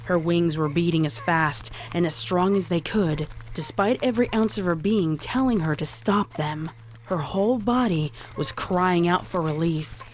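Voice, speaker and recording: Low-voiced woman narrating a horror story. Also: normal speed.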